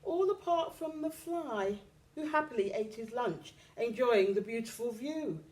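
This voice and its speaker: A woman reading a children's picture book aloud expressively, with dramatic, deliberate phrasing for young listeners. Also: on the high side, animated, darkish tone. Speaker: storytime reader.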